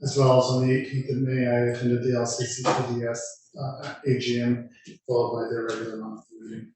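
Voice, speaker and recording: A man gives a short, formal report in a flat, even tone. He sounds far from the mic in a strongly echoing room. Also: medium pitch, fairly quick, background noise. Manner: brief, formal. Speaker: city councillor. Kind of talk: giving a council report.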